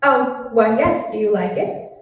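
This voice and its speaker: A woman's voice: on the high side, animated, measured pace. A woman playing a polite, flustered office secretary in an old-time radio drama. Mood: polite, hesitant. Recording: echoey hall, distant, muffled, faint noise.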